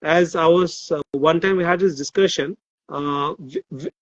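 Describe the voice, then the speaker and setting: A man who grew up in Nigeria, an author guest in a casual discussion, who talks thoughtfully and pauses often to search for words. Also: fairly high pitch, dry recording, muffled recording. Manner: thoughtful, with many fillers and restarts.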